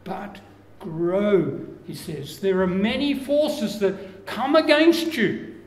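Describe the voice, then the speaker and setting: An older man with an Australian accent preaching a sermon, slow and measured, his phrases falling away at the ends. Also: sits high, very animated. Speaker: pastor. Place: church room with curtains. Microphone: lapel mic. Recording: echoey church room, faint background hiss.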